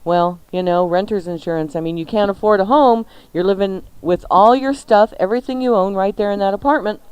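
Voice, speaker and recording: A woman's voice: medium pitch, a little fast, darkish tone, clean tone, no echo, low-quality recording. A woman co-hosting a radio show, playful and chatty, mixing casual banter with live ad reads. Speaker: radio cooking show co-host.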